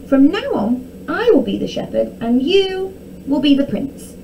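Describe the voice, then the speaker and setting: Woman putting on a high, bossy young princess. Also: very animated, a little slow, warm tone, far from the mic, a little hiss or hum, heavily acted. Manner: shrieking false alarms, sweetly brazen. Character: mischievous, bossy young princess turned fake shepherd.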